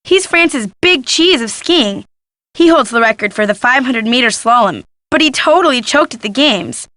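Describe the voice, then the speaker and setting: Game role: young woman photojournalist, nosy and sardonic, forceful bright tone, close dry sound. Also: a little high, lively intonation, normal speed, dry recording. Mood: gossipy, sardonic. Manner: gossipy, sardonic, dramatic emphasis. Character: gossipy, snarky young female photojournalist snooping for scandal. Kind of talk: video game character dialogue.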